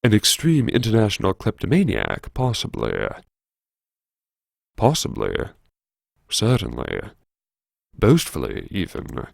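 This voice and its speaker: An actor playing a wry, brooding academic who muses to himself in old-fashioned, theatrical, self-mocking phrases, as in an audiobook drama. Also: male voice, lowish voice, vocal fry, dry recording, clean studio sound, full character voice. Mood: rueful musing. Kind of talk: first-person audiobook drama monologue, talking to himself.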